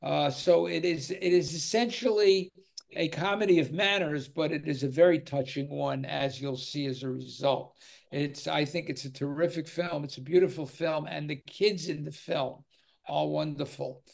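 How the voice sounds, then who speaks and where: A man's voice: a little high, normal speed, creaky. An older man moderating a film discussion on a video call, talking with many fillers. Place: home room with virtual cinema backdrop.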